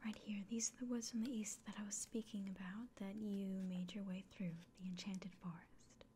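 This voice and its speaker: A woman playing a kindly, caring innkeeper in an ASMR fantasy roleplay, whispering gently and reassuringly. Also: medium pitch, fairly even intonation, normal speed, slight background noise, lightly acted. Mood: helpful, instructive.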